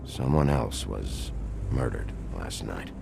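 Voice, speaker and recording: An actor playing a gruff, world-weary detective in a noir video game, gravelly, terse and deadpan. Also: male voice, extremely low pitch, slow pace, noisy background, heavily acted. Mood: dry, probing. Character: gruff, hard-boiled male detective, world-weary and blunt. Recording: music under the voice.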